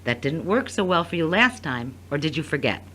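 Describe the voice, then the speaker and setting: Actress as a frank, lively-pitched female confidante. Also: fairly quick, a little hiss or hum. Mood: teasing concern. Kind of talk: scripted audio drama acting.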